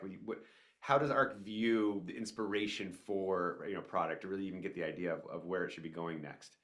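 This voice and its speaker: Lower-voiced man hosting an interview. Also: fairly bright tone. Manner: encouraging, asks leading questions ending in "right?". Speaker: interview host. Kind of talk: casual interview conversation.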